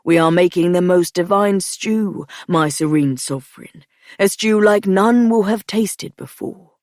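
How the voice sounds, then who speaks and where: A short male head cook fusses over his guests with eager, soothing flattery, as a woman narrator puts him on. The voice sits lower and a touch louder, with a dry, close sound. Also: measured pace, no echo, lightly acted. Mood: effusive.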